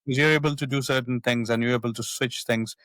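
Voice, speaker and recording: A man's voice: medium pitch, fairly even intonation, quick pace, a bit bright, dry recording, clean studio sound. Pakistani-accented man, thoughtful and repetitive. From northwest Pakistan. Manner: thoughtful, frequent repetitions and restarts. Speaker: psychiatrist guest. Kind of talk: reflective interview talk.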